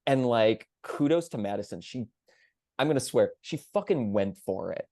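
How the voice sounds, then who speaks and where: A man's voice: medium pitch, animated, normal speed, dark tone, dry recording, clean studio sound. A man talking conversationally on a theater panel, earnest and emphatic, circling around his phrasing.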